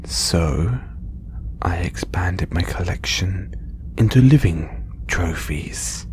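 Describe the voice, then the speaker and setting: A man acting a slow, whispering, condescending alien collector. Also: low voice, lively intonation, darkish tone. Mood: smug, menacing. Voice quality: breathy, half-whispered. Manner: condescending, deliberate with long pauses. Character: condescending, possessive alien trophy hunter and collector, male. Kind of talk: slow audio roleplay monologue to listener.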